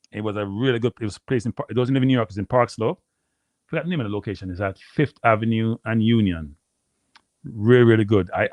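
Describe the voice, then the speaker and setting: A Jamaican man hosting a live stream, rambling casually to his viewers and repeating himself, with a deep voice. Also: dry recording. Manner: rambling, repeats phrases, addresses viewers as people. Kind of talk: casual livestream chat.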